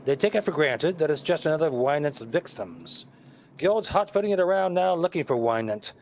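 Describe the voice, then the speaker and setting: A man playing a breezy radio detective, swooping pitch. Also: very animated, fairly quick, darkish tone. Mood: playfully wry. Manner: playful, wry, theatrical. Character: witty, breezy male detective, affectionate teasing husband. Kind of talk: vintage radio play acting.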